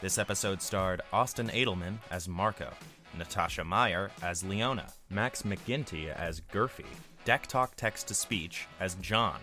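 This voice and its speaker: A man's voice: low-pitched, unhurried, sharp tone. A man reading scripted end credits for his own audio show, with a friendly sign-off. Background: music.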